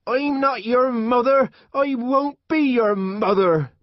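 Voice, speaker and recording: A man voicing a distraught, bitter and volatile mother in an audiobook, with a strained, shrill voice. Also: slow pace, no echo, lo-fi sound. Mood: furious. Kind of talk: audiobook character voice.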